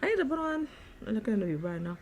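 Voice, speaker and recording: A young adult woman with a raspy voice chatting casually in a relaxed, slangy travel vlog. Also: mid-range voice, rapid-fire, a little hiss or hum, poor audio. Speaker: vlogger.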